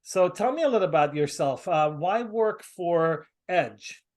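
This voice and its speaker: A man from the United States hosting a casual video call, talking haltingly with many fillers and restarts. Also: high-pitched, lively intonation, darkish tone. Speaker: host.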